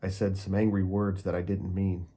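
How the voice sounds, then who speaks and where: A man voicing a kind, lonely old male neighbor in a children's storybook, speaking gently and softly. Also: sits low, little pitch movement, moderate pace. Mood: gentle.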